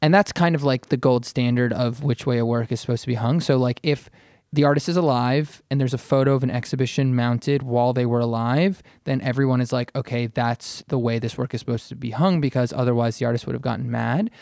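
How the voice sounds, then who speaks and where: A man's voice: mid-range voice, fairly even intonation, a little fast, creaky, darkish tone, no room sound, studio quality. A man on a podcast explaining things in a casual, conversational way, often qualifying what he says. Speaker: writer on a podcast.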